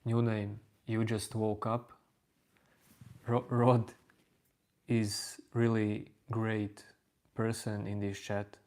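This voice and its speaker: Slow, flat-voiced Latvian-accented man. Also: lowish voice. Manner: unhurried, even, reading out chat names. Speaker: livestream host answering chat. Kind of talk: slow calm spiritual chat.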